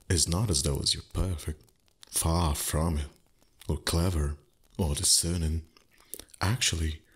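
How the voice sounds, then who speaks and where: Haughty male dragon played by a man for ASMR roleplay: extremely low, slow delivery, condescending and teasing, secretly fond. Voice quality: very deep, husky, breathy. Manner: slow, condescending, flustered tsundere pauses. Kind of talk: intimate roleplay ASMR acting.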